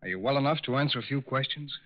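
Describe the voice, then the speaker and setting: An actor playing a calm, firm, fatherly federal agent who questions formally in a 1940s radio crime drama. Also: mid-range voice, very fast, close to an everyday voice. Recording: muffled 1940s radio recording.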